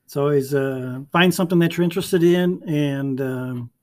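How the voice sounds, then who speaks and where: Friendly higher-voiced male podcast host. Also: moderate pace. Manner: friendly, many uh fillers. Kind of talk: school podcast interview.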